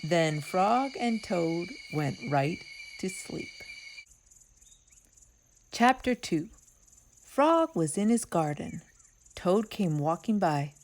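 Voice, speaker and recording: A woman reading a children's story aloud as narrator, in an expressive storytime style. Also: lowish voice, slow, long pauses. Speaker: storytime reader.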